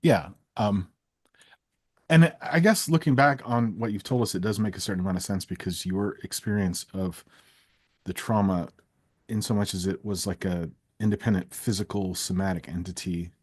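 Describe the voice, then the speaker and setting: A man interviewing someone in casual conversation, thinking aloud with analytical, measured phrasing. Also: deep voice, a little mellow, slightly rough, no echo, studio quality.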